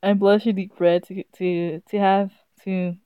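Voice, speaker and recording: A woman's voice: mid-range voice, little pitch movement, measured pace, smooth, no room sound. A woman streaming a visual novel, giggly and amused, reading text aloud casually and stumbling over it, game music behind her. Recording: slightly dull sound, game music behind.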